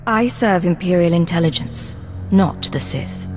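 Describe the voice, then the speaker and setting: A woman's voice: lowish voice, unhurried, in a large hall, music in the background, lightly acted. A woman playing a cool, defiant secret agent in a video game, clipped, dry and self-assured. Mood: guarded.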